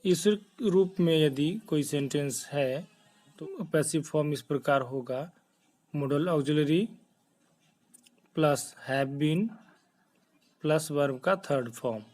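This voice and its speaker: An Indian man giving a grammar lesson in a slightly high voice. He speaks extremely slowly and deliberately, laying out each part one step at a time, with English terms mixed in. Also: a little mellow, low-quality recording.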